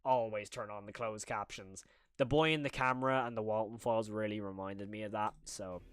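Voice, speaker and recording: A man's voice: lowish voice, steady tone, a little fast, fairly bright tone, clean tone, no room sound, studio quality. A man giving casual, rambling live-stream commentary to his chat as a reaction streamer, informal and frank. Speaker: streamer reacting to horror videos.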